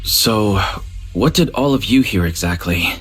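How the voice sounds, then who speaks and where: An actor voicing a gentle, thoughtful young man in an anime-style video game, caring toward teammates. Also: fairly low pitch, animated, a little slow, slightly rough, faint music behind. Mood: puzzled.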